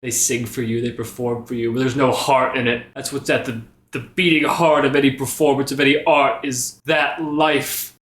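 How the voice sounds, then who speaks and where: A man's voice: mid-range voice, level tone, normal speed, a bit bright, echoey room, lightly acted. A man playing a defiant, heartfelt performer in a fantasy tabletop game, arguing in an earnest, folksy way. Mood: passionate, indignant. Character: defiant, heartfelt mortal performer standing up to a lich.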